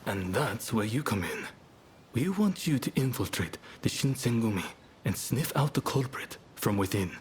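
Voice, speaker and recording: An actor playing a composed, shrewd samurai spymaster with a polished, persuasive manner in a game. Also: male voice, mid-range voice, animated, normal speed, slight background noise, lightly acted. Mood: assured, persuasive. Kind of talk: video game cutscene acting.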